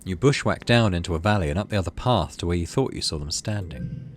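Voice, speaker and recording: A man's voice: low-pitched, animated, fast, slight background noise. A man doing let's play commentary on a game, reading narration aloud and thinking through choices in tentative asides. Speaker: gaming video host.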